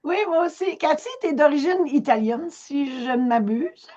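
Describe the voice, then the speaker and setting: Woman interviewer, higher voice, friendly, slightly muffled recording. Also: animated, moderate pace, dark tone.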